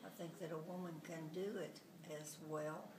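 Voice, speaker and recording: A woman, giving slow, deliberate interview answers and now and then correcting herself. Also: low voice, fairly even intonation. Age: 90s. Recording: roomy echo, distant mic, audible noise.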